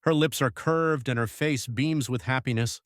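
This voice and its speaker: A man playing a grave, devoted young husband who narrates his journal in an audiobook, measured and reflective. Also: medium pitch, no room sound, studio quality, close to an everyday voice. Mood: reflective, troubled.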